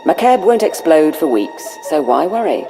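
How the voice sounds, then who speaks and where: A woman playing a pragmatic, sardonic imperial agent, speaking briskly in a video game cutscene. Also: low-pitched, very animated, over music, rough recording. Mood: wry, brisk. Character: wry, pragmatic, cold-blooded female imperial agent.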